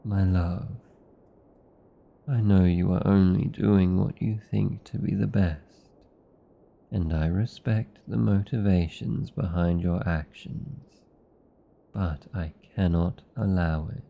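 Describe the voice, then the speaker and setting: Man playing a deep, gravelly British vampire lover. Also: steady tone, dull audio, heavily acted. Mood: worried, pleading. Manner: measured, formal, earnest with deliberate pauses. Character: protective, anxious old vampire lover, tender yet arrogant. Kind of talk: romantic ASMR roleplay acting.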